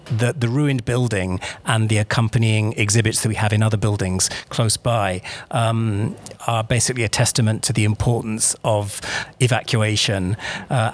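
A middle-aged man, a native English speaker who directs a disaster memorial centre, explains its history outdoors in an interview, with a self-deprecating manner and frequent fillers and restarts. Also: fairly low pitch. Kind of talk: on-location interview. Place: outdoors at a memorial site by the coast.

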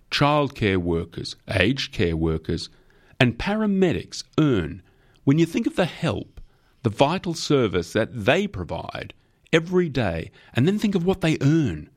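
A man's voice: low-pitched, big pitch swings, takes it slowly, hardly any pauses, full character voice. A man voicing a powerful, jovial company boss in an audiobook, blunt, emphatic and forthright. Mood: indignant.

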